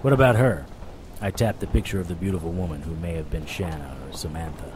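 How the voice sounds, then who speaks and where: An actor as a low-voiced, deadpan private eye. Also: male voice, quick pace, background noise, natural voice. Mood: wry, reflective. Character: wry, world-weary male private investigator of the supernatural. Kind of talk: first-person noir narration and dialogue in audio drama.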